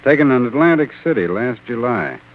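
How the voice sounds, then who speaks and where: An actor playing a gruff, blunt, plain-spoken police sergeant in a 1940s radio drama. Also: male voice, medium pitch, big pitch swings, fairly quick, lightly acted. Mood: skeptical. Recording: tinny, noisy 1940s radio recording.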